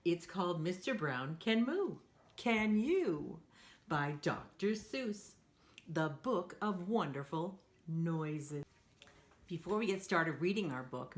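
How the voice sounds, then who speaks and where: A woman hosting a read-aloud storytime for young children, speaking in a playful, encouraging way. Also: lowish voice. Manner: animated, encouraging, asks listeners to join in; playful, acts out sound effects.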